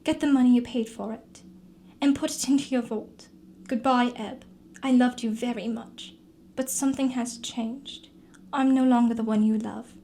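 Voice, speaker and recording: A heartbroken young fiancée played by a woman in a radio play. The high voice is earnest and emotional, full of reproach. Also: steady tone, normal speed, dark tone, slight background noise, lightly acted. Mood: hurt, reproachful. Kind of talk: radio drama acting.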